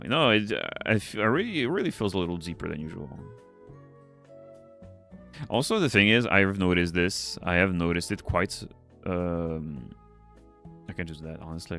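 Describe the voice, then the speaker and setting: A man with a deep voice, English not his first language, chatting casually on a livestream as he draws, rambling, muttering to himself and swearing now and then. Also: vocal fry, fairly bright tone. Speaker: streamer. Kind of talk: casual livestream chat while drawing.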